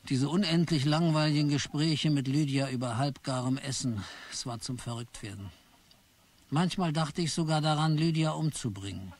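A man's voice: a little high, normal speed, lightly acted. A man voicing a weary, bitter office clerk who narrates his own story in a radio play, in a tired, brooding way. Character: weary, put-upon office clerk Charlie. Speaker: narrator and main character.

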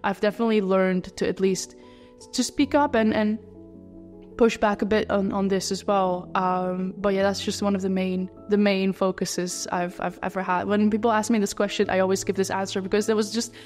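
A young Dutch woman with a Dutch accent, answering interview questions in a casual way, talking quickly and tripping over her words with many restarts. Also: mid-range voice, little pitch movement, darkish tone, big-room sound, soft background music. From Netherlands. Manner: fast talker who trips over words, many restarts and fillers. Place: living-room set with lamp and window, indoors.

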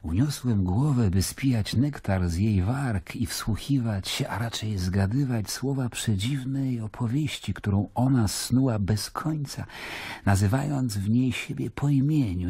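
An actor playing a worldly, skeptical Roman governor, narrating in a measured, musing, literary way in a radio drama. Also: male voice, mid-range voice, dark tone, breathy edge, no echo.

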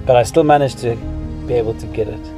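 Zimbabwean guy in his 50s doing a vlog, chatting about old times, medium pitched, music playing behind him. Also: quick pace. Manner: reminiscing, explanatory. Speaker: vlogger. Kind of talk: casual personal storytelling.

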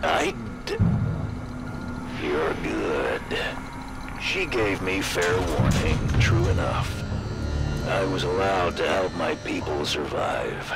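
Deep, gravelly, solemn colony leader. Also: male voice, expressive, heavily acted. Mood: solemn, knowing. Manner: measured, formal, solemn. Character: grave, philosophical colony leader welcoming coming change. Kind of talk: video game cutscene acting. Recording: loud music behind.

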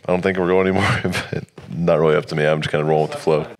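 A young man with a very deep, low voice talks fast on a studio couch. He rambles and jokes his way through a casual podcast chat, words tumbling out quickly. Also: steady tone. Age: young adult. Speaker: college athlete guest.

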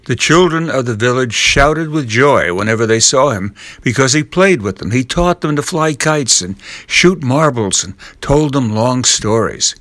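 A man's voice: mid-range voice, expressive, unhurried, hardly any pauses, a bit bright, no echo. Man reading a storybook in a dry room. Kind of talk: scripted storybook narration.

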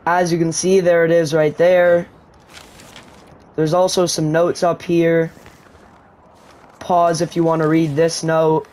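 A man's voice: fairly high pitch, flat delivery, slow, long pauses, darkish tone. A man narrating a video game tutorial, explaining step by step how to use an item. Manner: explanatory, walks viewers through steps. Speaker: gaming YouTuber. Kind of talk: gameplay tutorial commentary.

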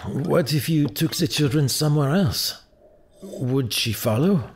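A loyal family friend in an audio drama. He is urgent and conspiratorial, with a creaky, widely moving voice. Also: on the high side, expressive, unhurried, slight background noise. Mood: urgent, insistent. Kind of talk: full-cast audio drama acting.

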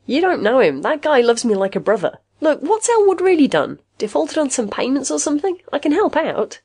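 A stubborn, suspicious man in an audiobook story, played by a woman, pitched high and swinging widely. He sounds defiant and protective, always ready to argue. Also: big pitch swings, normal speed, a little mellow, no room sound. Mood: pleading, indignant. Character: wary, stubborn man, fiercely loyal to his friend.

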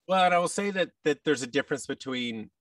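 A man's voice: on the high side, lively intonation, fast talker, fairly bright tone, dry recording, recorded from a distance. A man in his thirties talking casually as an interview guest. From United States. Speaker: interview guest, actor and voice-over artist. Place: large indoor room with tables, on video call. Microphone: boom-arm microphone, headset.

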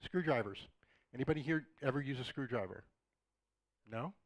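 A middle-aged man talks through a conference lecture in a relaxed way, dropping joking asides to the audience. His voice is slightly raspy and sits at an ordinary pitch. The sound is dry and close. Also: normal speed, no echo. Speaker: conference speaker. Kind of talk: conversational lecture. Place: dark auditorium, in front of a projected slide.

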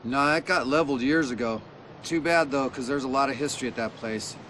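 A man's voice: medium pitch, fairly quick, fairly bright tone, a little hiss or hum, lightly acted. Man acting a laid-back veteran skater. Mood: reassuring. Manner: casual, slangy. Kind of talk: video game cutscene acting.

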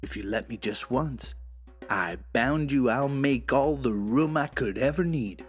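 A man voicing a sly, persistent storybook skunk who coaxes quietly for shelter. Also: mid-range voice, faint music behind, natural voice. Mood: coaxing. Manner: quiet, slow, coaxing.

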